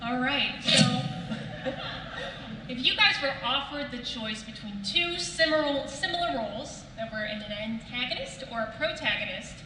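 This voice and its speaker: High, bright woman moderating in an echoey hall. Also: expressive, moderate pace. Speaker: panel moderator. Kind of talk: casual live panel hosting. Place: large convention panel room. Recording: distant, echoey hall, faint noise.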